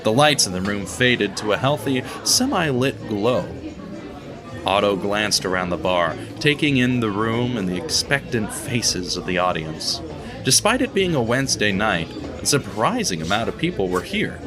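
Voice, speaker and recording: A man's voice: on the low side, very animated, moderate pace. Man narrating over guitar music. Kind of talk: scripted audio drama narration.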